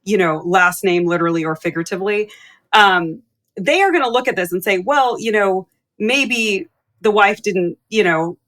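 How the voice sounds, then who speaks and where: A woman's voice: mid-range voice, expressive, normal speed, fairly bright tone. A woman co-hosting a business podcast, asking reflective, candid questions of her guest. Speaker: podcast co-host. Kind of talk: conversational podcast interview.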